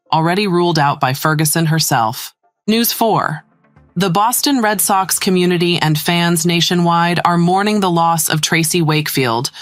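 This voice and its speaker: A woman narrating scripted celebrity news in a measured, formal read-aloud manner. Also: sits low, no echo. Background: background music.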